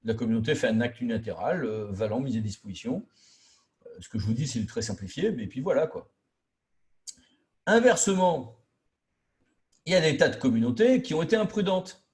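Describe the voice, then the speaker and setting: A man's voice: mid-range voice, animated, a little fast, pauses often, a little mellow. Man lecturing in a colloquial, emphatic way. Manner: colloquial and didactic, acting out imagined quotes. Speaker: trainer lecturing local officials on intermunicipal law. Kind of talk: informal training lecture.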